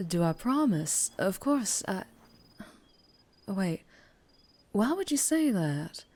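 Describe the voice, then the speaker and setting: A woman's voice: medium pitch, very animated, slow pace, a little mellow. A woman voicing a mysterious, teasing and menacing female vampire biker in an ASMR roleplay. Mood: nervous, evasive.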